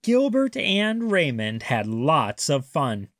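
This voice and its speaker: Male storyteller, children's read-aloud, lively intonation, close dry sound, deliberate phrasing. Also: a little high, no room sound. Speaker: storyteller reading a picture book.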